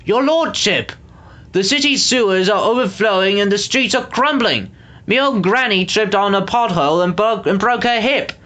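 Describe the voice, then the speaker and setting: A storybook reader voicing a bedraggled, desperate man shouting his complaints. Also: medium pitch, normal speed, pitched above the performer's own voice, natural voice. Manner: loud, raised, complaining.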